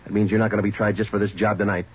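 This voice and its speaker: Terse detective sergeant, male actor, deep and brisk, clipped delivery, old-time radio crime drama with dull sound. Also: slight background noise, close to an everyday voice. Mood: stern. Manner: clipped, deadpan. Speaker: narrator and lead actor.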